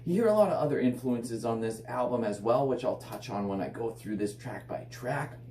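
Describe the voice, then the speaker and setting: A man with a hoarse, raspy voice from illness, enthusiastically reviewing a metal album in casual, rambling speech full of "like". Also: medium pitch, animated, fairly quick, mellow. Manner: enthusiastic, filler-heavy rambling with frequent "like". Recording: echoey room, slightly distant mic, faint hum.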